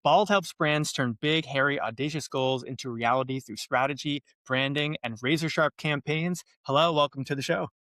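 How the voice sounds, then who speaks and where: A man hosting a podcast interview, asking relaxed questions with plenty of hesitations. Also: on the high side, normal speed, hardly any pauses, no room sound. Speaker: podcast host.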